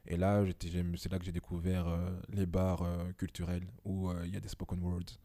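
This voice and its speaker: Deep-voiced young man, thoughtful and hesitant. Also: monotone, normal speed, mellow. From Belgium. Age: 20s. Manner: reflective, many hesitations. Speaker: guest. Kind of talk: casual podcast conversation. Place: home.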